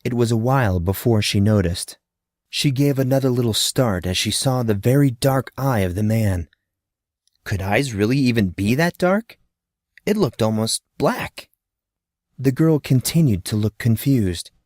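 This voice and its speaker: A man's voice: mid-range voice, expressive, a little slow, darkish tone, no room sound, studio quality. A man narrating scripted fiction expressively, in a storybook manner that dramatizes a child's thoughts.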